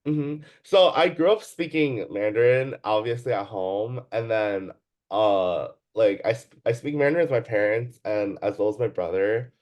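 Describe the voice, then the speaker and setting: A man's voice: on the low side, moderate pace, a little mellow. A young man from the Bay Area answering interview questions casually, hesitant, with many fillers and restarts. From Bay Area, California, USA. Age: young adult. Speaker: student interviewee.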